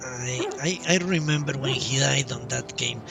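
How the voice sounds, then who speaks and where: A man livestreaming a game playthrough, chatting casually with viewers in a halting, opinionated way. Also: medium pitch, gravelly. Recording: distant mic, game music behind.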